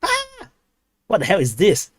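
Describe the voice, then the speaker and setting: A man's voice: high-pitched, wildly swooping pitch, measured pace, fairly bright tone, slightly rough, no room sound. A man from Singapore with a Singaporean English accent, hosting a casual livestream, rambling with many restarts while reading chat aloud. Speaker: livestream host.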